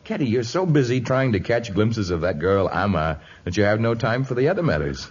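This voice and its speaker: A man's voice: lowish voice, big pitch swings, a little fast, breathy edge. An actor playing a commanding, fatherly jungle hero with formal, declamatory phrasing, in a 1950s radio drama on an old muffled recording. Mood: firm, knowing. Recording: dull vintage radio recording.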